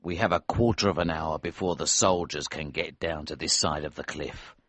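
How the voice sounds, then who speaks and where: A man voicing a deep, stern old smuggler. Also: fairly even intonation, a bit bright, dry recording. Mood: steady, fatherly. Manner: cold, measured, stern. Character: grim, vengeful older male innkeeper and smuggler. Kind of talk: audiobook character voice.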